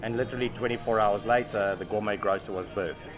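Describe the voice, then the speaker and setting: A man with a fairly low voice speaks as a small business owner. He recounts things in a narrative interview style. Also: fast, over music. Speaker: shop and restaurant owner. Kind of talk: storytelling in an interview.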